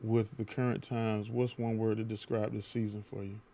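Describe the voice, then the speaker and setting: A man's voice: fairly low pitch, level tone, normal speed, creaky, dark tone, slight background noise. A man hosting a podcast, asking questions and talking in an easygoing, conversational way. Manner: easygoing, conversational, drawls casual phrases. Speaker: podcast host. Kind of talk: casual interview chat.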